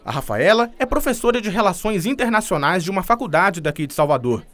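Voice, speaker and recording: A man's voice: high voice, very animated, fast talker, a bit bright. A man narrating a scripted TV news report.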